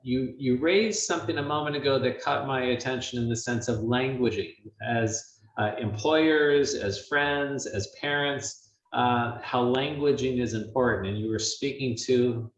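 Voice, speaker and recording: A man hosting a webinar conversation, asking questions in a reflective, halting way with many pauses. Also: mid-range voice, far from the mic. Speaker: webinar host. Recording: dull, roomy sound from off-mic, faint hiss.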